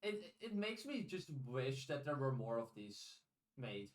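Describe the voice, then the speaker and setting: A man co-hosting a podcast in casual chat, giving opinions in a measured, hesitant way. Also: medium pitch, very animated. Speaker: podcast co-host. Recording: slightly distant from the mic.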